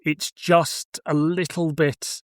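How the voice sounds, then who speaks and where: A man's voice: on the high side, little pitch movement, slow, dry recording, clean studio sound. A man hosting a podcast, chatting casually through a review and thinking aloud as he hedges his points. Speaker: podcast host.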